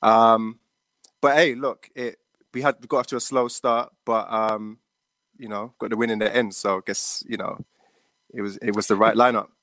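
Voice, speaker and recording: Male panel guest, halting, filler-heavy, dry mic. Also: fairly low pitch, steady tone, a bit bright, no room sound. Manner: halting, lots of ums. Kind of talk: casual fan chat.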